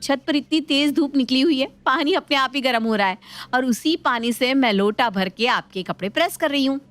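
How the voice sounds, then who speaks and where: A cheeky young Indian daughter-in-law in a cartoon moral tale. Her voice sits a little high and she explains with polite, mock innocence. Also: a little fast, a bit bright. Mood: mock-innocent. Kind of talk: Hindi animated moral story acting.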